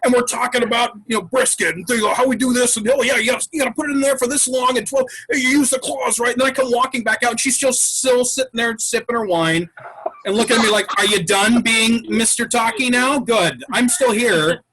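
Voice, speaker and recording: A man hosting an improv troupe's home episode, chatting over a video call and telling stories in a relaxed, talkative way. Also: extremely high pitch, fairly quick, a crowd in the background, low-quality recording. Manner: chatty storyteller, wraps up the show. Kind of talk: casual chat. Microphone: video call mic.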